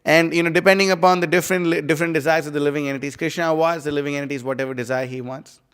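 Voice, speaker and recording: A man's voice: fairly high pitch, fairly even intonation, rapid-fire, a bit bright, clean tone. A man giving a casual scripture class, rambling with many fillers as he explains. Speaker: class speaker. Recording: live stream in a reverberant hall.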